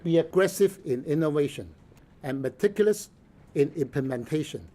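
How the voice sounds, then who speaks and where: An older man, a senior official giving measured, formal interview answers. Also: a little high, lively intonation, dark tone.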